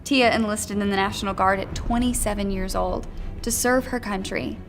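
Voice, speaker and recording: A woman's voice: mid-range voice, steady tone, normal speed. A woman reading a written nomination story aloud as scripted narration. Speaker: reader of nomination stories. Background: background music.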